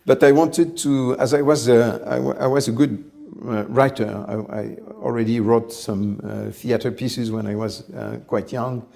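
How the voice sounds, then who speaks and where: A man in his sixties with a gravelly, slightly creaky voice, speaking at a middling pitch. He tells his story in a calm, reflective way as an interview guest. He often stops briefly to search for the right word. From France. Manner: measured, reflective, pauses often to find words. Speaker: company head, interview guest.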